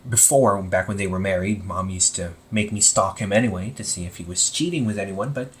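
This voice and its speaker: A man playing an anxious, wry young supervillain in a casual audio roleplay, talking informally with exasperated sighs and halting phrases. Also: deep voice, a little hiss or hum, natural voice. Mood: amused, sheepish. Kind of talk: amateur audio roleplay, casual conversational acting.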